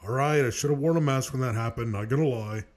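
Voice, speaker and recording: A man playing a defensive, aggrieved loudmouth in a scripted web cartoon, whiny and argumentative. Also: medium pitch, fast, close to an everyday voice.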